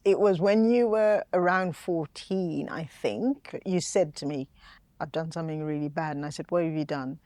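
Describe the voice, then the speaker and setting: A woman's voice: low voice, normal speed, warm tone, no echo. Woman telling a family story, amused. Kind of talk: intimate interview conversation.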